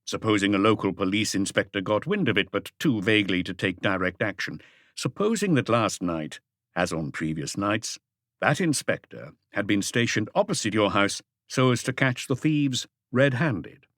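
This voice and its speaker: A male narrator plays a patient, methodical detective in an audiobook mystery. The voice is on the low side, formal and deliberate, with a probing firmness. It sounds dry and close. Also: animated, fairly bright tone, no echo. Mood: measured, firm. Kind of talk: audiobook character dialogue.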